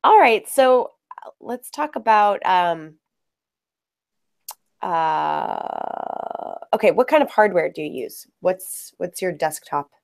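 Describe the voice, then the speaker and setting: A woman from a software team interviewing a user, explaining features patiently and asking friendly questions. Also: on the low side, wildly swooping pitch, slow pace, creaky, pauses often.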